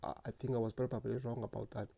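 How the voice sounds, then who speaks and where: A man telling his own story in a slow, earnest, reflective way, stuttering often with repeated words and restarts. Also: mid-range voice, little pitch movement, gravelly, dark tone. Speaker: speaker sharing lived experience. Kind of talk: personal reflective monologue.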